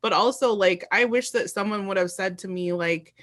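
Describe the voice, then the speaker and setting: A woman's voice: mid-range voice, normal speed, a bit bright. A woman interviewer in casual chat, earnest and supportive, rambling through her thoughts.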